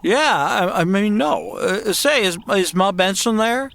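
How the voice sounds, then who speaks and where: Brief: male actor as a laid-back Texas cowboy drifter with a slow drawl; clear background hiss. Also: high-pitched, animated, heavily acted. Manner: slow easygoing drawl with stammers. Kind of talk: comedy radio drama acting. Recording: hissy background noise.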